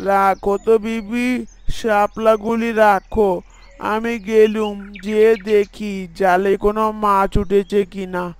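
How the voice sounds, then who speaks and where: Man voicing a gentle fisherman dad, high voice, slow deliberate delivery, cartoon moral story, faint background noise. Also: level tone, clear, resonant tone. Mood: gentle, caring. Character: village fisherman, gentle husband and father.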